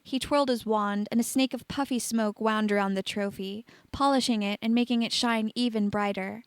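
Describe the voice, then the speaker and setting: A woman's voice: fairly high pitch, fairly even intonation, moderate pace, dry recording. A woman reading scripted fiction aloud as a storyteller. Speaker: fan fiction reader.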